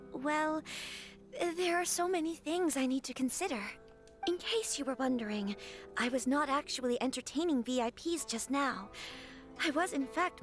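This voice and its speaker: A woman performs a young noblewoman from a game, her voice high and gentle. She speaks with formal politeness and earnest hesitation, over faint music. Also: lightly acted. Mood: nervous, confiding. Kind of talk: scripted game dialogue.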